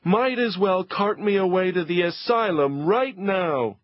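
A man voicing a frazzled mother of several boys, shrill and fed up, crying out over the mess. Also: unhurried, dry recording. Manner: exasperated, cries out. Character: frazzled shouting Italian-American mother. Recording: dull, dry lo-fi recording.

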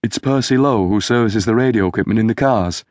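A man's voice: fairly low pitch, brisk, darkish tone, no echo, clean studio sound, pitched above the performer's own voice, close to an everyday voice. Man voicing an uneasy, deferential police sergeant. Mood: uncomfortable, deferential. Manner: hesitant, respectful. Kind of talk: audiobook character dialogue.

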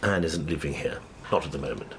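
An actor playing a reserved, kindly middle-aged English gentleman in a radio drama, understated and gentle. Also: very deep voice, a little fast, a little hiss or hum.

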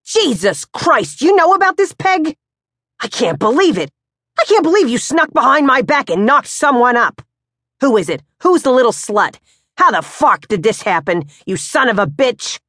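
A woman voicing a hot-tempered, blustering middle-aged father who shouts and curses. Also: on the high side, lively intonation, a little slow, no echo, studio quality, pitched above the performer's own voice. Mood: enraged yelling. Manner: shouting, cursing, explosive.

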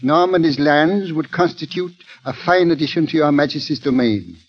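An actor playing a shrewd, unruffled cardinal, urbane and dryly ironic, in old radio drama style. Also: male voice, a little high, moderate pace, lightly acted. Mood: persuasive. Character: suave, shrewd, unruffled cardinal and chief minister. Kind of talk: 1930s-40s radio drama acting. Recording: dull vintage radio recording.

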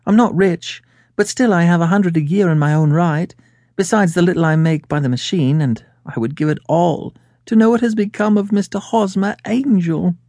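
A man voicing a nervous, chatty, good-humoured young Englishwoman client in a storybook, gushing on in excitable run-on sentences. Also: high voice, normal speed, dry recording, studio quality, pitched above the performer's own voice. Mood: earnest pleading.

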